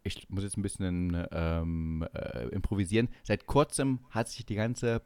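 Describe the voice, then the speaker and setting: A man's voice: low voice, a little slow, warm tone, clear, resonant tone, dry recording. A man from East Germany chatting casually and jokingly as a podcast host, often searching for words. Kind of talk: casual comic chat.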